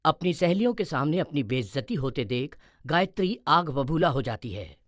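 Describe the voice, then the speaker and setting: A man narrating an animated moral story in a scripted storytelling style. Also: on the high side, moderate pace, no room sound, clean studio sound.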